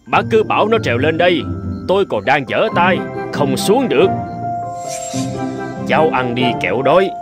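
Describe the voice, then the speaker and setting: A man voicing a busy older squirrel in a cartoon, gruff and scolding yet caring. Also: on the high side, lively intonation, normal speed, pauses often, over loud music, close to an everyday voice.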